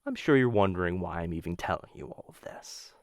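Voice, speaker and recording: A man playing a menacing, infatuated assassin in a boyfriend ASMR roleplay, speaking low, hushed and intimate into a close microphone, teasing and often slipping into whispers. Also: animated, fairly quick, dry recording. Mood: cool, explaining. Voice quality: low, gravelly.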